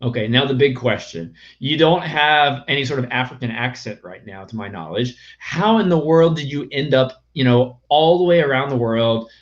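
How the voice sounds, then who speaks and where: A man hosts a relaxed interview over a video call, eager and wordy, padding his questions with fillers. His voice sits at an average pitch, and the sound is slightly dull and lo-fi. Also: normal speed, hardly any pauses. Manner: enthusiastic, wordy, with filler phrases. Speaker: podcast host.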